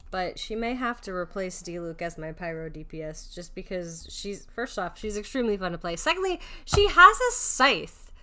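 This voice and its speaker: A woman's voice: on the low side, very animated, normal speed, few pauses, sharp tone. A woman doing casual live video game commentary, chatty and self-mocking as she thinks aloud.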